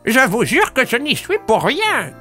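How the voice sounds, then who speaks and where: A man voicing an old female bar owner, wry and excitable, in an audio drama. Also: extremely high pitch, measured pace, soft background music, pitched above the performer's own voice, heavily acted. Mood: alarmed. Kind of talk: audio drama character dialogue.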